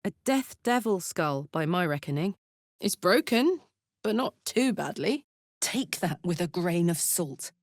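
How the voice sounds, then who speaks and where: A woman's voice: fairly low pitch, sing-song, measured pace, dry recording, clean studio sound. An actress with a British accent playing a brash, big-hearted, battle-hardened warrior woman in a fantasy video game, blunt and earthy in manner. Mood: alert, matter-of-fact.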